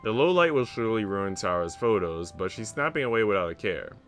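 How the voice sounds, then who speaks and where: A man's voice: fairly low pitch, lively intonation, fairly quick, fairly bright tone. A young man streaming a visual novel in a laid-back, slangy way, switching between casual chat and reading aloud. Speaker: game streamer. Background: music.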